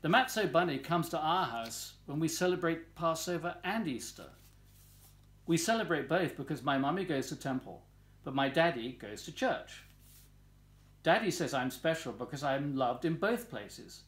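Man in his sixties, slightly high voice, lively intonation, children's storybook reading, expressive and deliberate delivery, home living room. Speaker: volunteer story reader.

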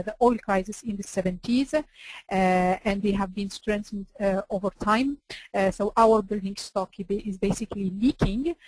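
A woman's voice: medium pitch, no echo, low-quality recording. Woman giving a webinar talk, lots of uh's, dry close sound. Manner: hesitant, many "uh" fillers. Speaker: webinar presenter. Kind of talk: presenting slides in a webinar.

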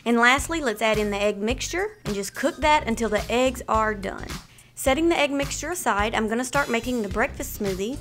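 A woman in her thirties hosting a cooking channel, walking through meal prep in clear step-by-step voice-over. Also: on the high side, lively intonation, fairly quick, a bit bright, soft background music. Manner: clear step-by-step instructional delivery. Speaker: host of a healthy cooking channel. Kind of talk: upbeat recipe walkthrough with voice-over.

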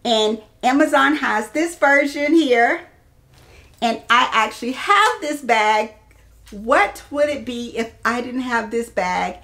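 Chatty high-voiced woman vlogger. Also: animated, slow, a bit bright. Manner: chatty, addresses viewers as girls and ladies. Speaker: fashion vlogger and host. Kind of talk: casual product showcase to camera. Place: small indoor room lined with clothes and bag shelves.

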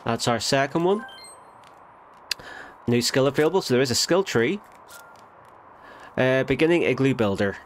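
A man's voice: medium pitch, lively intonation, moderate pace, pauses often, a little hiss or hum. A man with a nasal voice from a recent illness, chatting casually over a game and correcting himself as he goes. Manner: chatty, self-correcting, thinks aloud. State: nasal from a cold. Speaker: gaming channel host. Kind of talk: casual let's play commentary.

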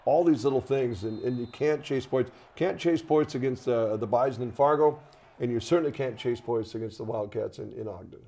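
An older man in a conversational, halting studio sports discussion, often repeating words as he makes his point. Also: medium pitch, mellow. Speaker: radio play-by-play commentator.

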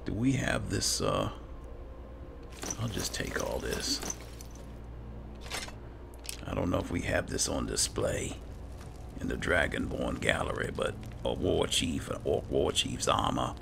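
A man giving casual, low-key roleplay commentary as he hosts a video game playthrough, musing as he goes. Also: lively intonation, slow pace, pauses often. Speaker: gaming host. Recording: clearly noisy, game ambience behind.

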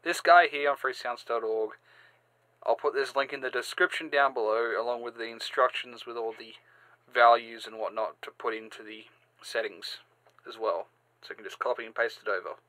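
A man hosting a step-by-step software tutorial, halting and sometimes repeating or correcting himself. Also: medium pitch, steady tone, no room sound, rough recording. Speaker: tutorial host. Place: indoors, screen-recording voice-over.

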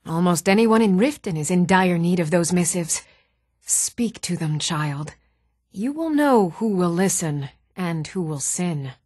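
A woman's voice: lowish voice, expressive, unhurried, darkish tone, husky, no echo, clean studio sound. A woman playing a devout, fervent priestess in a fantasy video game, earnest and pious. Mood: grave, earnest. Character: devout, fervent priestess preaching a goddess's warmth.

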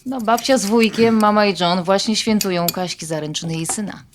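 An actress playing a practical, outspoken middle-aged wife in a naturalistic audio drama. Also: female voice, medium pitch, fairly quick, close to an everyday voice. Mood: friendly, relaxed. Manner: down-to-earth, frank. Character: practical, outspoken wife hosting a family holiday meal. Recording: noisy background of cutlery and dishes.

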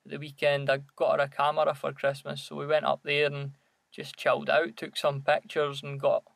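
A man's voice: on the high side, completely flat delivery, normal speed, gravelly, fairly bright tone, dry recording. A Scottish man co-hosting a podcast, chatting casually in a hesitant, reflective way. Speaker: podcast co-host.

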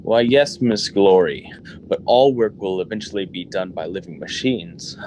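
Man playing a hearty, low-voiced businessman. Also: lively intonation, moderate pace, darkish tone, a little hiss or hum, low-quality recording. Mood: hearty, boastful. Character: folksy, business-minded male factory consul. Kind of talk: radio drama acting.

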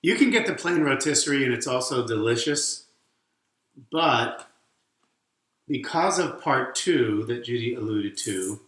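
A man's voice: medium pitch, animated, measured pace, long pauses, fairly bright tone. A middle-aged man co-hosting a casual cooking video at home, explaining each step with jokey asides while he works with his hands. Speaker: cooking show co-host. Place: home kitchen, indoors. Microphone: camera mic across the kitchen. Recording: echoey kitchen with faint noise.

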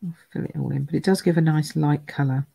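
A chatty woman demonstrates card making live, her croaky voice full of gravelly fry. She thinks out loud as she stamps and colours, recorded dry and close at her worktable. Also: deep voice, steady tone, fast, no room sound. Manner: chatty, thinks aloud, greets viewers by name. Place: home craft room.

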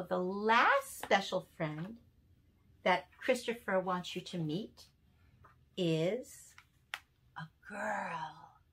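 A woman in her sixties reading children's poems aloud as a storyteller, with deliberate, animated phrasing and dramatic pauses. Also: lowish voice, husky. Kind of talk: expressive children's poetry reading. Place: small furnished room indoors.

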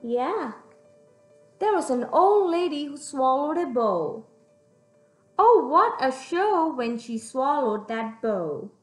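A young woman reading a picture book aloud to young children in an expressive, teacherly way, pausing to put questions to them. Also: high-pitched. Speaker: children's storyteller. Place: room at home, indoors. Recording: echoey room, music in the background.

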